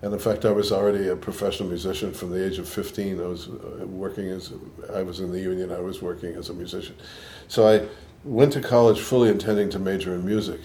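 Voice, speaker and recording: A man's voice: low voice, level tone, fast, few pauses, warm tone, slight background noise. An older man from Boston with a mixed Boston and New York accent, a scientist recalling his life in an interview, thoughtful with frequent restarts. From Boston, USA. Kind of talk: reflective interview answers.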